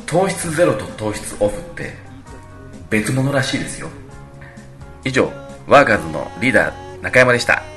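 A man's voice: mid-range voice, very animated, normal speed, bright, forceful tone. A man speaking politely and conversationally as a radio show's leader and commentator. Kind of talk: radio talk and explanation. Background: faint music.

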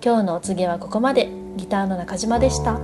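A woman's voice: medium pitch, fast talker, loud music behind the voice. A woman presenting a scripted radio segment in the style of an oracle's message.

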